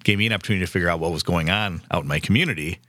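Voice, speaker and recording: A man's voice: low voice, racing, sharp tone, dry recording. A man in his fifties hosting a casual, earnest conversation, with restarts along the way. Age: 50s. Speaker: host.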